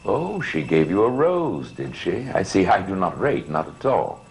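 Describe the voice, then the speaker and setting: A middle-aged actor playing a suave, sly gentleman in a gothic soap opera, urbane, wry and formal. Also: sits low, very animated, a little slow, creaky, full character voice, poor audio. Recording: old TV soundtrack, faint noise.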